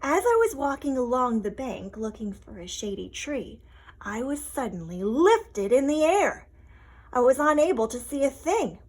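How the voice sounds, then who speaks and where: A woman voicing a chatty, relieved but shaken mother hen in an expressive, deliberate storybook read-aloud. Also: sits high, poor audio. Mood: anxious, breathless.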